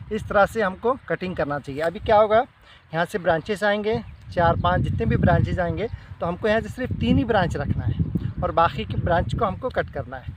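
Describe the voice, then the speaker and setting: A middle-aged man gives an informal, step-by-step farming demonstration, repeating himself as he shows each step. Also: high-pitched. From India. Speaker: fruit farmer presenting a tutorial. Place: outdoors in an open farm field. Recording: clear outdoor background noise.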